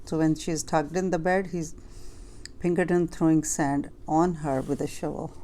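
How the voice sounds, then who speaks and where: A woman's voice: low-pitched, steady tone, normal speed, dark tone. A woman reading a picture book aloud to children, adding simple explanatory asides as she goes. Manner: simple explaining, addressing young listeners.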